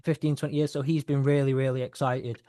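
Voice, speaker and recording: A man's voice: medium pitch, flat delivery, fast, mellow, dry recording, clean studio sound. A man hosting a podcast in casual chat, hesitant and often restarting his sentences. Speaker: podcast host.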